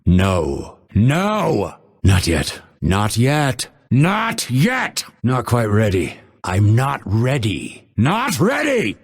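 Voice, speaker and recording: A cold, proud video game assassin, speaks in a gravelly, husky male voice. The delivery is slow and theatrical, with clipped, disdainful phrases that swing widely in pitch. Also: sing-song, exaggerated voice. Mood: impatient. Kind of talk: video game character voice lines.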